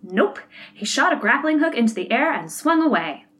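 A woman's voice: a little high, big pitch swings, moderate pace, a bit bright. Woman playing a timid, earnest policeman, distant mic. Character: timid, eager, earnest male police detective. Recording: distant mic with background noise.

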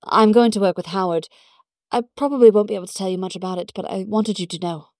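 A woman's voice: medium pitch, fast, darkish tone, no echo, clean studio sound, lightly acted. Woman voicing a poised, tender British lady agent. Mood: earnest, restrained. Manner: breathy, restrained.

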